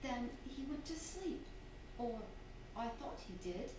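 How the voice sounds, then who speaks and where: An actress playing a young, unhappily married widow in a stage radio drama, speaking emphatically with dramatic pauses. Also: female voice, on the high side, lively intonation. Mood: distressed, pleading. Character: young unhappy widow, cool and composed, pleading when cornered. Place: theatre stage, live performance. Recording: very echoey hall, distant mic, faint noise.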